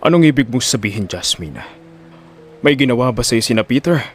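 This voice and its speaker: Lalaking gumaganap na matandang mayamang Don, pormal. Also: male voice, mid-range voice, lively intonation, a little fast, husky, faint music behind. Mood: serious. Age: older. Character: wealthy elderly Don, formal and measured patriarch.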